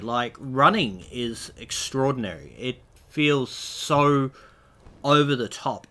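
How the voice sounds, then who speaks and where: A man's voice: mid-range voice, fairly bright tone. A man getting over a cold, sounding slightly nasal, gives a rambling, enthusiastic spoken game review. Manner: rambling and enthusiastic, with loose asides.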